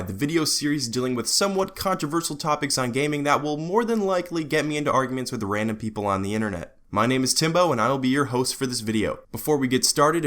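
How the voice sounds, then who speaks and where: Animated, sarcastic young male video host. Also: mid-range voice. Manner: sarcastic, joking, profane asides. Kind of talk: casual scripted video commentary on gaming.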